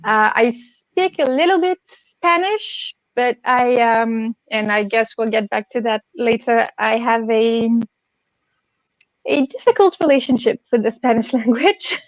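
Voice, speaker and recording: French woman with a high voice. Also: unhurried, dry recording, low-quality recording. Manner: hesitant, many fillers and restarts, laughs often. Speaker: guest. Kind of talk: casual conversation.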